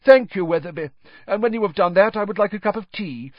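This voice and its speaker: A man's voice: high voice, brisk, no room sound, voice pushed up. A man putting on a raving, gasping old official. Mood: rambling. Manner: rambling, broken gasping phrases. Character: raving, confused older male official, desperate. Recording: dull, slightly lo-fi recording.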